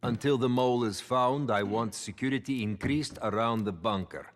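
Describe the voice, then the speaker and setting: An actor playing a grave, authoritative Soviet leader in a video game, speaking in a measured, commanding way. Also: male voice, mid-range voice, close to an everyday voice. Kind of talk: dramatic video game cutscene acting.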